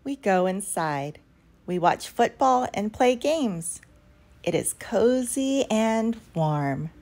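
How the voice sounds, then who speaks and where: Expressive woman reading to children, slow pace. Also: mid-range voice. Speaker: read-aloud storyteller for children. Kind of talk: expressive picture-book read-aloud for young children. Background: background music.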